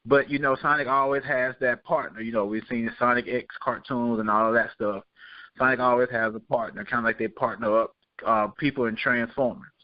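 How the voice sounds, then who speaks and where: A man's voice: medium pitch, fairly even intonation, brisk, no echo. Emphatic man in dull lo-fi audio. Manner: emphatic, repeats himself for emphasis. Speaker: podcast host. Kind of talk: casual opinionated chat.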